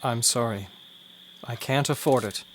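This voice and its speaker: A man playing a patient, dutiful husband in a period audio drama, restrained and gently reproachful. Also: medium pitch, measured pace, slight background noise, natural voice. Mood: hurt, resigned.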